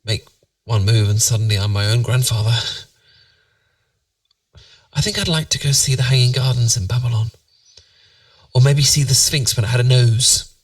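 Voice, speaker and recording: A British man playing a tender, playful boyfriend in a bedtime ASMR roleplay, musing softly and intimately to soothe his partner to sleep. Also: medium pitch, normal speed, long pauses, no echo, far from the mic, lightly acted. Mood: dreamy, musing. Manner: soft, intimate, soothing, musing, occasionally near whisper.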